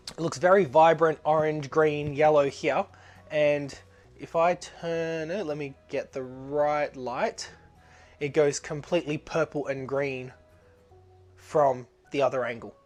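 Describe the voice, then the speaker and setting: A man with a blocked-up nose, narrating a casual craft tutorial as he works, chatty and easygoing, with plenty of ums and uhs. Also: on the high side. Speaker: resin artist demonstrating a project.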